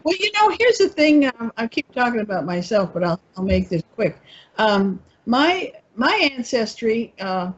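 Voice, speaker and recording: A woman with a husky, breathy voice wanders through her thoughts in a relaxed discussion, often pausing to find the word. Her audio comes through dull and muffled. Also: on the high side. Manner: meandering, searching for words. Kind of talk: casual discussion.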